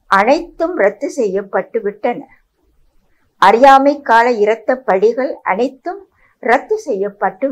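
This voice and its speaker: A woman formally reciting poetry in measured, emphatic lines. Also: a little high. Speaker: media personality reciting poetry.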